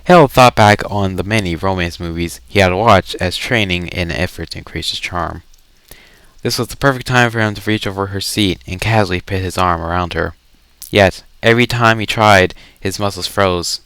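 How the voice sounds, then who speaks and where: Low, gravelly man reading a story aloud. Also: normal speed, fairly bright tone, no echo. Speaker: reader of a fan story. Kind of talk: dramatic read-aloud narration with casual asides.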